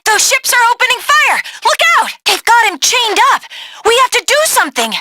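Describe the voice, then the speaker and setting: A woman voicing a brave, bossy young female adventurer in a video game, giving crisp, urgent instructions in an animated acting style. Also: very high-pitched, a little hiss or hum, full character voice.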